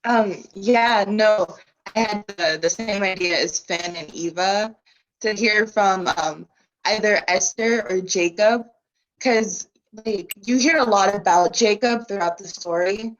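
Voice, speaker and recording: A woman's voice: medium pitch, slow pace. Hesitant student woman, muffled audio. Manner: hesitant, heavy use of "like" and "um".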